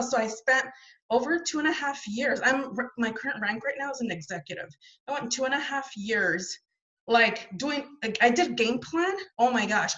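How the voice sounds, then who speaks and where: A woman's voice: fairly high pitch, sharp tone, muffled recording. A woman guest telling her story in a chatty, rambling way, full of restarts and fillers, heard over a video call. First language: not French. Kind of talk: casual interview storytelling.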